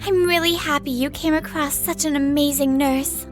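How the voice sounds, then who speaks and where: A woman's voice: sits high, fairly even intonation, moderate pace, over music, natural voice. A woman voicing a poised, principled, sweet young female doctor in a manga dub, speaking politely. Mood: professional, pleased. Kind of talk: animated romantic-comedy dub acting.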